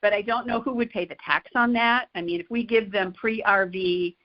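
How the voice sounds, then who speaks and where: A woman's voice: mid-range voice, normal speed. A middle-aged or older woman from Michigan, a caller politely asking questions in a conversational style. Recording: dull lo-fi phone call audio.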